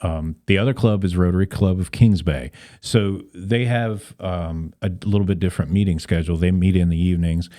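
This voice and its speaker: A man's voice: sits low, fairly even intonation, fairly quick, hardly any pauses, warm tone. A man talking as a podcast guest, explaining things in an earnest, conversational way. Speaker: podcast guest, civic club member. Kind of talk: conversational interview.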